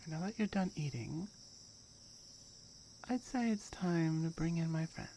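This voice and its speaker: Tiger girl played by a woman: territorial, firm, matter-of-fact ASMR roleplay. Low voice with a gravelly creak. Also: a little slow, warm tone, a little hiss or hum. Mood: wry, matter-of-fact. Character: firm, dominant tiger girl guarding her jungle territory.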